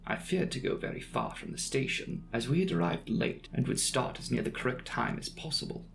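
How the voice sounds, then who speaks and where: A man's voice: mid-range voice, animated, brisk, creaky, a little hiss or hum. A man voicing an earnest, inquisitive young traveller reading out his journal in a precise, matter-of-fact way for a dramatic audiobook. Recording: music behind the voice.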